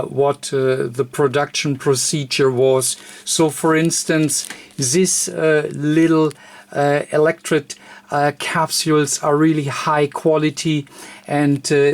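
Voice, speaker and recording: Non-native english guy doing a techy tutorial, talks real slow, lots of uhs and stops. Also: a little high. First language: not English. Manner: halting, hesitant delivery with frequent uhs. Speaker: tutorial presenter, radio hobbyist. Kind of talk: informal technical tutorial.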